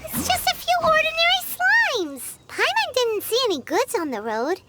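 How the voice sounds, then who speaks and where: A blunt little cartoonish fairy from a video game. The voice is piercingly high, childlike and squeaky, with big pitch swings. Loud music plays over it. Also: female voice, huge pitch swings, normal speed, exaggerated voice. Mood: doubtful. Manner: refers to self in third person, exclamatory. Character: tiny childlike female fairy companion, blunt and excitable.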